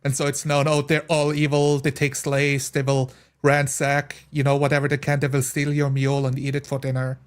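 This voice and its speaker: A man's voice: medium pitch, monotone, a little fast, hardly any pauses, smooth. A man in a casual group chat about a role-playing game, explaining his points through examples. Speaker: tabletop role-playing player.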